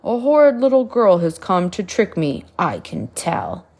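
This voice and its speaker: A woman voicing a huge grumpy male giant in a read-aloud storybook, growling and booming. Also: huge pitch swings, unhurried, a little mellow, exaggerated voice. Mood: gruff.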